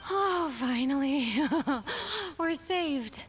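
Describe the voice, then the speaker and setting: A weary office worker full of dramatic gratitude in a video game. The fairly high voice moves slowly with big pitch swings. Music plays behind. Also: female voice, expressive, noisy background, exaggerated voice. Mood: relieved, adoring. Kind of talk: scripted video game dialogue.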